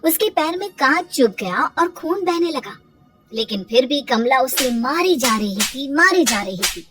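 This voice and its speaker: Lady storyteller reading a scripted moral tale, bit high voice, soft music under it. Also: animated, measured pace. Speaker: narrator.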